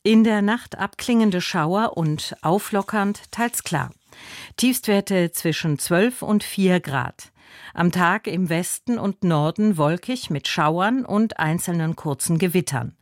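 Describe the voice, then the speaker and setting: Low-pitched woman reading radio news. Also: slow pace, dry recording, studio quality. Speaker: radio newsreader. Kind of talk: scripted news bulletin reading. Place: dry broadcast studio.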